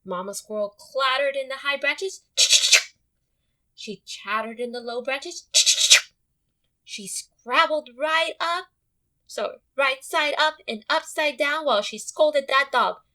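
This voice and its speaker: A woman's voice: high-pitched, takes it slowly, long pauses, bright, forceful tone, poor audio. A woman teacher giving an interactive storybook read-aloud, explaining and addressing her young listeners directly.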